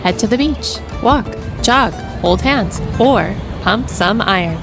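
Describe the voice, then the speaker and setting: A woman's voice: mid-range voice, animated, slow pace, gravelly. A woman narrating a travel vlog in an upbeat, scripted tour-guide voice-over. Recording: voice-over with music behind.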